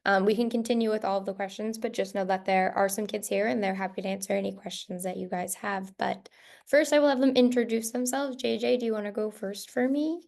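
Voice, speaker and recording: A woman hosts an online school session in an informal, encouraging way. Her voice sits at a middle pitch with a noticeable creak. She sounds like a staff member passing questions along. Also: fairly quick, darkish tone. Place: video call.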